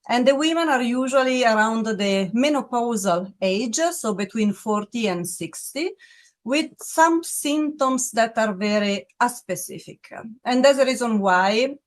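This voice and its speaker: A middle-aged woman from Italy with an Italian accent, speaking in an interview about medicine in a measured way, with many pauses and restarts. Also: a little high. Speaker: pharmaceutical medical officer.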